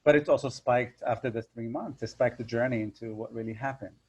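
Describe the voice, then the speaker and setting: Man, loud voice, animated storytelling, acts out voices, casual interview, dull lo-fi sound. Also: medium pitch, brisk. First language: Hebrew. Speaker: hypnotherapist guest.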